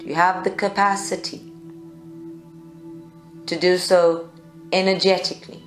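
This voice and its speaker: Woman, lowish voice, meditation guide, measured instructing phrases, long pauses, roomy echo. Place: live group session indoors at a creativity centre. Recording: echoey room, music behind. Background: meditation music.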